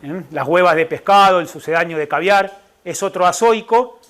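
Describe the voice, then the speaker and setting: A middle-aged Argentine man lectures on nutrition with an Argentine Spanish accent. His voice sits fairly high for a man as he explains each point patiently. He keeps checking in with the room through quick asides. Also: fairly bright tone. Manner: explanatory, checks in with the audience by asking "¿verdad?" and "¿eh?". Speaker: nutritionist giving a public talk. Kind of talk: informal lecture to a live audience.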